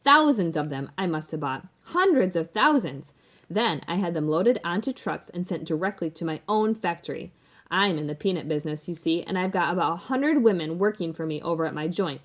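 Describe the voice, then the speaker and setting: A woman voicing a boastful, doting rich businessman father in a storybook, emphatic and folksy. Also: lowish voice. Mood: boastful, eager.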